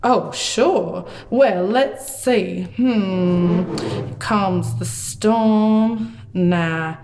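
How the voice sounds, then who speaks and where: Woman playing a slow, folksy puppet prophet man. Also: on the high side. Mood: folksy reminiscing. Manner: folksy, theatrical, drawn-out delivery. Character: folksy puppet John the Baptist, excitable male storyteller. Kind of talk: children's puppet show dialogue.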